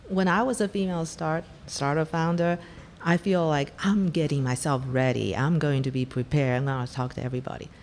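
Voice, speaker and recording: A woman's voice: low-pitched, fairly even intonation, fairly quick, darkish tone, big-room sound, a little hiss or hum. A middle-aged woman from Taiwan, a venture investor telling her startup story in an interview, candid and blunt. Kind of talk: conversational interview.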